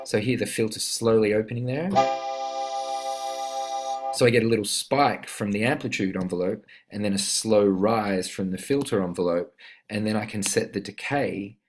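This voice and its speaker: A man with a fairly low voice narrates a software tutorial in an informal, step-by-step way. He explains like an instructor at his computer, with synth instrument sounds clearly heard along with his voice. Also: moderate pace, over music. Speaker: instructor of a music production tutorial. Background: synth instrument notes played during the demonstration.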